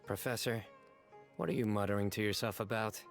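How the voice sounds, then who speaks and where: A man voice acting a stoic young former student in a video game, composed and deadpan with a quietly teasing wit. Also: on the low side, brisk, lightly acted. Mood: dry, curious. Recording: game audio with background music.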